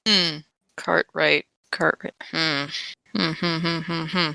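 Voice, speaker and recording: A woman's voice: low voice, fairly even intonation, slow, gravelly, sharp tone, dry recording, rough recording. A woman chatting casually as a player in a tabletop role-playing game, informally musing aloud about what to do.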